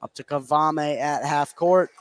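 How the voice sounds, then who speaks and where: A male announcer with a fairly high voice calls a live basketball game on the radio. He follows the action energetically in short, clipped phrases. Clear gym noise sits behind him. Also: fairly even intonation, a little slow. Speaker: play-by-play sports announcer. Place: indoor gymnasium at a live game.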